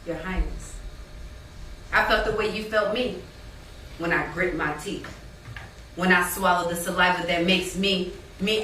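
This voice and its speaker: A woman's voice: lowish voice, fairly bright tone. A woman playing a proud, street-hardened friend, performing theatrical spoken word poetry with a rhythmic, emphatic delivery. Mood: tender, earnest. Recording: very echoey stage, distant mic, faint noise.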